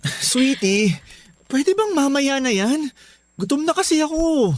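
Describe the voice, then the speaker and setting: An actor playing a tired, exasperated young Filipino husband who pleads reluctantly in a radio drama. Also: male voice, very high-pitched, big pitch swings, a little slow, no room sound, exaggerated voice. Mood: flustered, reluctant.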